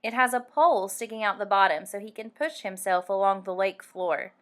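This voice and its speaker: A woman reading a picture book aloud for young children, animated and deliberate, acting out the sound effects. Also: mid-range voice. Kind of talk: expressive read-aloud storytelling for young children.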